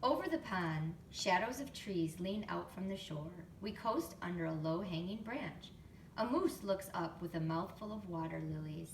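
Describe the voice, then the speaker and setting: A woman reading a picture book to young children expressively, pausing to explain things and ask them questions. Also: lowish voice, animated. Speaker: storytime reader, teacher to young children. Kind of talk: picture book read-aloud with chatty asides. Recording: roomy, distant mic, faint background noise.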